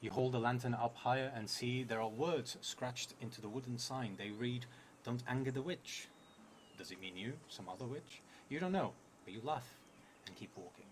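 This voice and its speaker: A man's voice: mid-range voice, normal speed, a little hiss or hum. A man in his thirties narrating a solo tabletop role-playing game on a stream, reading prompts aloud and musing to himself. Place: indoor streaming setup in a theatre venue.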